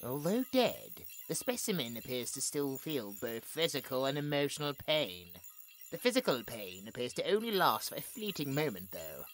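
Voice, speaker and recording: Low-voiced, theatrical cold woman scientist, acted out by a woman. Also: sing-song, moderate pace, soft background music. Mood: clinical, detached. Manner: theatrical, clinical log narration with dramatic emphasis. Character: cold clinical female scientist, gloating and imperious. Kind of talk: scripted horror audio drama.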